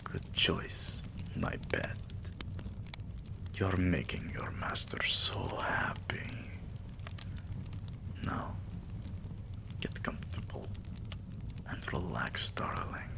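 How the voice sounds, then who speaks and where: A man playing a doting, possessive vampire king in an intimate ASMR roleplay, breathy and soothing, coaxing with pet names. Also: low voice, big pitch swings, drawn-out words, pauses often, exaggerated voice. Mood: whispered, indulgent. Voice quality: breathy, whispery.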